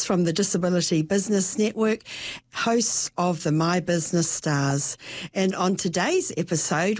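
A woman's voice: medium pitch, dry recording. A woman with a New Zealand accent hosting a podcast interview in a conversational style.

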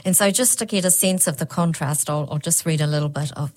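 A woman with a New Zealand accent hosts a casual chat about a story. Her voice sits low, and she talks in a chatty way, often stopping and restarting her sentences. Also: fast.

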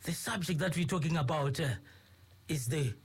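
A man's voice: on the high side, normal speed, husky. A man hosting a radio interview, speaking formally and courteously, with many fillers and repeated phrases. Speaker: radio host. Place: radio studio.

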